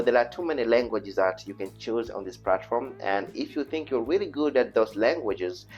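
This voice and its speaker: A man with a Tanzanian accent, a native Swahili speaker, presenting an informal tutorial in an earnest, repetitive, persuasive way. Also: lowish voice. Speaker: online video host. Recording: dull lo-fi voice recording with music. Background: background music.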